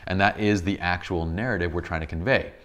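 Man, 30s, very low deep voice, photography instructor, enthusiastic, studio sofa set. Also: brisk, fairly bright tone. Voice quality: deep voice, a bit tired from a long day. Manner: enthusiastic, explains with many examples and restarts. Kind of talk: casual studio tutorial talk.

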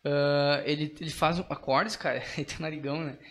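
A man's voice: fairly high pitch, steady tone, fairly quick, fairly bright tone. A young man with a Brazilian accent explaining things informally in a podcast chat, often checking in with short tag questions. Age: young adult. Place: small indoor podcast studio. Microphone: studio mic on boom arm.

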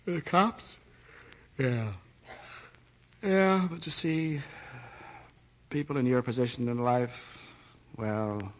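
An actor playing a weary, plain-spoken American policeman, awkwardly smitten, in a 1940s radio drama. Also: mid-range voice. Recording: dull old 1940s radio recording.

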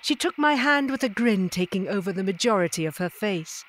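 A woman playing a tender, dignified non-human lady who narrates her memories in a measured, literary way in an audio drama. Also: mid-range voice, expressive, a little hiss or hum, lightly acted. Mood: fond, unhurried.

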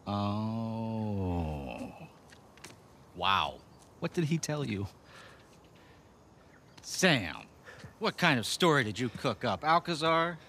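Smug, mocking low-voiced American villain. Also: male voice, very animated, very slow, long pauses, sharp tone, a little hiss or hum, heavily acted. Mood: taunting, gleeful. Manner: taunting, theatrical, sarcastic. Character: smug, mocking male villain, rich treasure hunter. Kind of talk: video game cutscene acting.

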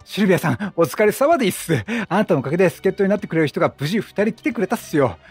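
A man voicing an eager, deferential young circus recruit from a video game, with rough but polite junior speech. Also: high voice, very animated, rapid-fire, faint music behind, heavily acted.

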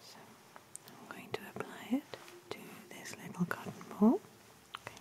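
A woman in her thirties speaking in a gentle, hushed, breathy ASMR whisper, as an examiner giving personal attention. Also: moderate pace. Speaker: ASMR roleplay performer as ear examiner. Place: small indoor room with fabric backdrop.

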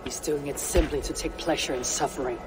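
A woman's voice: deep voice, steady tone, a little fast, noisy background, natural voice. An actress playing a stern young female Viking warrior in a video game, terse and disapproving.

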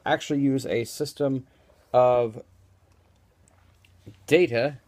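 A man with a mid-pitched voice gives a casual, unscripted explanation. He meanders and halts as he goes, offering his opinions while demonstrating. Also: steady tone, slow pace, darkish tone, no echo. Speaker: vlogger demonstrating screen reader voices. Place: small room indoors. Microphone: handheld phone, later lying on desk.

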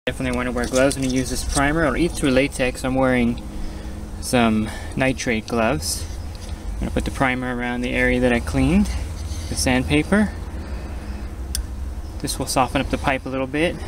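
A man narrates a hands-on tutorial in a plain, casual way, explaining each step as he works. His voice sits at a middling pitch. The recording is made outdoors, with clear ambient noise behind him. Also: steady tone, moderate pace. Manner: plain-spoken, step-by-step instructing. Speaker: how-to host, pool equipment installer. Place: outdoors at a small backyard equipment pad.

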